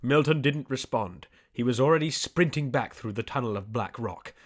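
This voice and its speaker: A man's voice: medium pitch, moderate pace. A man reading scripted narration for a comic fantasy audiobook.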